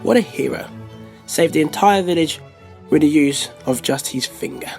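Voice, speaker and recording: A man's voice: a little high, moderate pace, a little mellow, soft background music. A man hosting a story programme, presenting links between tales. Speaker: host.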